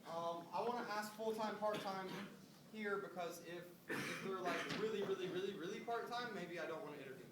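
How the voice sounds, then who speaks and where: A man with a high voice coaches recruiters through a casual phone script. He sounds upbeat and often checks in with short tag questions. The recording is roomy and distant, with noise behind him. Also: brisk. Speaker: recruiting trainer making phone screening calls. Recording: roomy, distant mic, noisy background.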